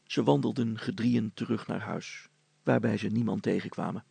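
A man's voice: fairly low pitch, fairly even intonation, normal speed, creaky, mellow, husky, no room sound. A man narrating a scripted children's story as a storyteller.

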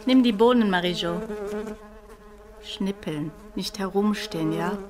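Radio play part: actress as bossy, practical daughter, mid-pitched female voice, brisk dry delivery. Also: a crowd in the background, natural voice. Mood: brisk, impatient. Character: brisk, bossy grown daughter running the household. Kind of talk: German radio play acting.

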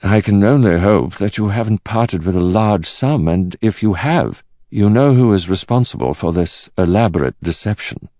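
A man voicing a courteous male art expert in an audiobook, speaking in a formal, deliberate, politely condescending way. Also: fairly low pitch, lively intonation, no echo, highs cut off, voice pushed up, close to an everyday voice. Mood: polite condescension. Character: measured, courteous male art expert delivering bad news.

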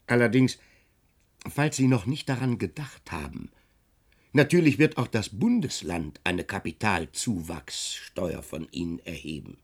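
An actor playing a smug, officious tax inspector in a German radio drama, chatty and unctuous with false courtesy. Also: male voice, lowish voice, expressive, a little slow, husky, full character voice.

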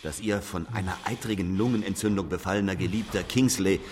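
A man's voice: deep voice, a little fast, a little mellow, natural voice. An actor playing a cold, mocking male interrogator in a German radio drama, sardonic and cutting. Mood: accusing. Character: cold, mocking, menacing male interrogator needling an old man. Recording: long reverb, faint background noise.

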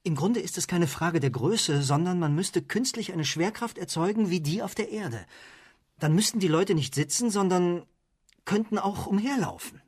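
An actor playing an excitable, sceptical male scientist in a radio play, who thinks aloud in hesitant bursts. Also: high-pitched, normal speed, slightly rough. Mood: tentative, brainstorming. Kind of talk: German radio play acting.

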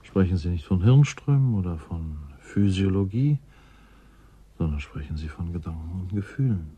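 An actor playing a reflective, philosophical man in a radio drama, speaking in a measured, emphatic way with a husky voice. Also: low-pitched, expressive, warm tone. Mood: earnest, emphatic. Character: reflective man theorizing on human warmth and ice.

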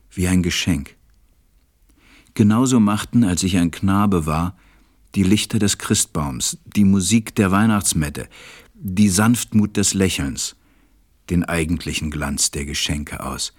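A man acting a reflective, tender pilot who narrates a radio play in a measured, gentle way. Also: low-pitched. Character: reflective adult male pilot, tender storyteller.

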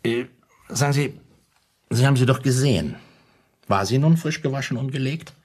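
An actor playing a pompous, obliging private detective in a radio play, stiffly formal and officious, with repetitions and hesitant pauses. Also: male voice, mid-range voice, a little mellow, breathy edge, natural voice. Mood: inquisitive. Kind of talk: German radio play acting.

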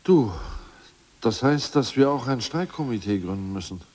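An actor playing an ousted Politburo member who answers in an offhand, skeptical way. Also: male voice, medium pitch, lively intonation, normal speed, a little mellow, lightly acted. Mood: doubtful. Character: ousted male party official, doubtful and easygoing. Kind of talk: 1980s radio play acting.

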